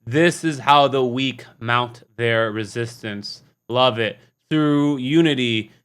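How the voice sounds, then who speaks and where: A man hosting a casual, unscripted reaction video, talking in an animated, slangy way and often repeating himself. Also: mid-range voice, slow, clean tone. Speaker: YouTube reaction host.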